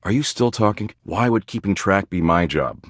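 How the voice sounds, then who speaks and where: Existential dread itself talks like a too-cool slacker in this video game. The voice sits low yet swings widely in pitch, dripping with smug, sardonic dismissal. It is recorded close and dry, every slangy put-down right up front. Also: male voice, animated, moderate pace, dry recording. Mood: mocking, sardonic. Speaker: voice actor in a dating simulator game. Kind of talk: video game character dialogue.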